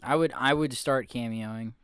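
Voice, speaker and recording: A man's voice: mid-range voice, normal speed, a bit bright, smooth, no echo, clean studio sound. A young man co-hosting a podcast, chatty and laughing easily into a desk mic. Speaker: podcast co-host. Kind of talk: casual chat.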